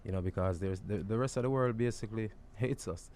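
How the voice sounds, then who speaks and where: Low, easygoing man co-hosting. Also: quick pace, a little mellow, a little hiss or hum. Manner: easygoing, agreeing often, repeats himself. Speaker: radio co-host.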